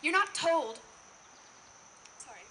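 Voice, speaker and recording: A woman's voice: high voice, very animated, slow, pushed and bright, rough recording. A teenage young woman performing slam poetry live with an emphatic, earnest, rhetorical delivery. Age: teens. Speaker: slam poet. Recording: noticeable background noise, live event.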